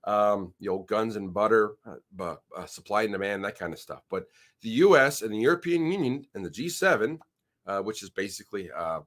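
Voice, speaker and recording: A man's voice: lowish voice, expressive, a bit bright. A man hosting his own channel, talking casually through news while sharing his screen. He sounds a little nasal from a head cold and often stops and restarts his sentences. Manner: rambling, halting restarts. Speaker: channel host. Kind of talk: casual screen-share commentary.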